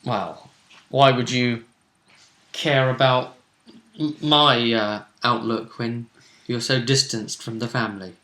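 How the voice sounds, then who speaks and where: A man voicing a haughty male noble who questions a distant relative, speaking in a halting manner. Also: mid-range voice, steady tone, bright, forceful tone. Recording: roomy echo.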